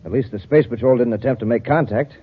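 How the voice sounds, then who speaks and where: A man's voice: medium pitch, quick pace, slightly rough, close to an everyday voice. An actor playing a cold, sly saboteur henchman in a vintage radio space serial, with a wry, menacing way of talking. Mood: annoyed. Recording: muffled old radio recording.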